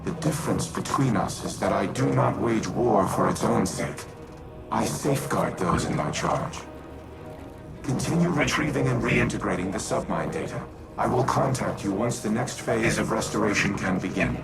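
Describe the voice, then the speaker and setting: A cold, resolute war machine speaks in a low, gravelly register, weighing each word,. A loud orchestral score plays behind the game dialogue. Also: male voice, animated. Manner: measured, calculated, grave. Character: ancient male warmind AI, cold and resolute. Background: loud score music.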